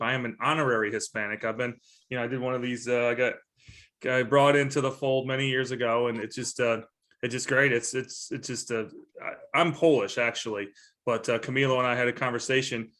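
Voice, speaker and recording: A man speaks over a video call in a friendly, rambling way. His voice sits at a middle pitch. He jokes about himself as he goes. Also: flat delivery, fairly quick, a bit bright. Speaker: staffing firm panelist. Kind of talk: video call self-introduction.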